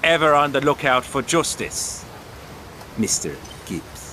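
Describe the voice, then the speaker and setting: An actor playing a humble, knowing poet passing on tips in a terse manner, in a wartime audio drama. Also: male voice, medium pitch, expressive, unhurried, noisy background, natural voice. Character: cryptic, humble poet and tipster, quietly righteous. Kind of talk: period audio drama acting.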